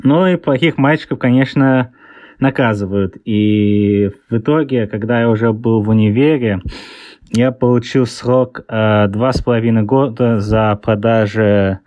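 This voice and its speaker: A man's voice: lowish voice, smooth, no room sound, muffled recording. Hesitant man with an English-tinged Russian accent. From born in Russia, raised in England. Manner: hesitant, searches for words, many fillers. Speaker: author, podcast guest. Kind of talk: casual interview answers.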